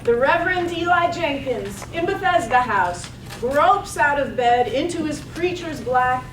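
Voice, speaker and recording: A storyteller, played by a woman, reads poetic narration in measured phrases. Her voice is high and slow. The room is echoey and she is distant from the mic. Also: animated, fairly bright tone, slight background noise. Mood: lyrical. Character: lyrical storyteller introducing villagers. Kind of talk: poetic scripted narration, read on stage.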